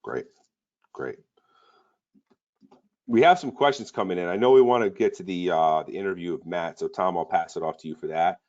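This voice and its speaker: A man's voice: low-pitched, normal speed, pauses often, a little mellow, dull audio. A man co-hosting a sales webinar in an upbeat, repetitive way with many fillers. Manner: upbeat, repeats words, fillers.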